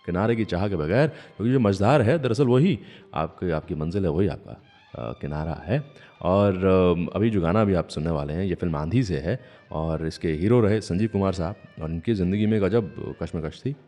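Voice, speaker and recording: Deep-voiced male radio host, reverberant. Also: a little fast, mellow. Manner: storytelling, musing, addresses listeners politely. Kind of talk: conversational radio presenting with anecdotes and philosophical asides. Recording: long hall reverb, music underneath.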